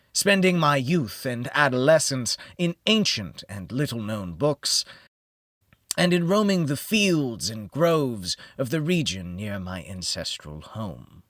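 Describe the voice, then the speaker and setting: A man narrating a gothic horror audiobook in the first person, theatrical and measured, with deliberate pauses. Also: mid-range voice, a bit bright, no echo, clean studio sound.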